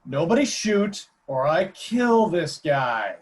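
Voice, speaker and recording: A man's voice: a little high, big pitch swings, takes it slowly. A man playing a crude, threatening rebel gang boss who gives commands in a screenplay table read.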